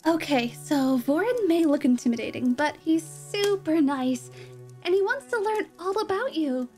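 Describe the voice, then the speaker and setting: A woman playing an earnest, idealistic, excitable young female adventurer in a fantasy roleplay, with a slightly nasal voice. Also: very high-pitched, normal speed, faint music behind. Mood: excited. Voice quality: nasal from a cold. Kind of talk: roleplay audio drama, cute.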